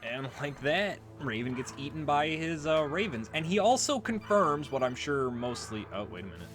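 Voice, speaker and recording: A man's voice: on the high side, very animated, moderate pace. A man hosting a let's play, giving casual commentary on a video game. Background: game music.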